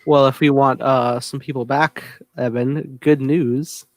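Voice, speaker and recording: A man's voice: mid-range voice, steady tone, unhurried, no room sound. A man hosting a podcast, leading the topics in a casual, conversational way.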